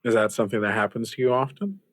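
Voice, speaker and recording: A man playing a polite, cautious, duty-bound character in improvised roleplay, hesitant and measured as he speaks. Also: medium pitch, very animated, fairly bright tone, no room sound, clean studio sound. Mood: puzzled. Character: polite, cautious host, resigned to duty, wary of temptation. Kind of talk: improvised actual-play roleplay dialogue.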